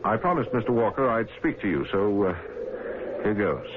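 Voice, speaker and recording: An actor in an old-time radio drama playing an earnest, polite young ship's doctor with a measured, deferential manner. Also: male voice, fairly low pitch, lively intonation, background noise, close to an everyday voice. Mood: nervous, deferential. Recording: tinny vintage radio, music underneath.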